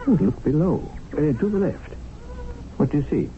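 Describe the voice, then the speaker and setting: An actor playing an experienced English tiger hunter in colonial India, explaining things and easily exasperated, in a radio drama. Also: male voice, on the high side, animated, normal speed, close to an everyday voice. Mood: patient, dry. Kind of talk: 1970s radio drama acting. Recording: narrow-band old radio broadcast, faint noise.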